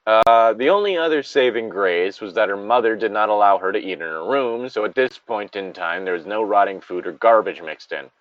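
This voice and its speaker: A man's voice: on the low side, animated, a little fast, few pauses, dry recording. A man co-hosting a casual story reading, drifting into tangents and exasperated, sweary asides. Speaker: co-host reading a story aloud with commentary. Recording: dull lo-fi microphone.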